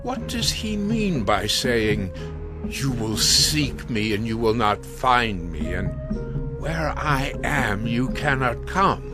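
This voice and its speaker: A questioning, suspicious Pharisee in a biblical audio drama. He speaks slowly and deliberately with a lively rise and fall, over clear music. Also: mid-range voice. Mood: puzzled. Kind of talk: audio drama scripture acting.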